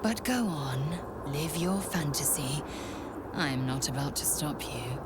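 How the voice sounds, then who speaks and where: A woman's voice: low voice, animated, measured pace. A woman playing a haughty, impatient githyanki warrior in a video game, speaking in a formal, clipped, commanding way. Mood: scornful, commanding. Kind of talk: video game character acting. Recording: loud background ambience noise.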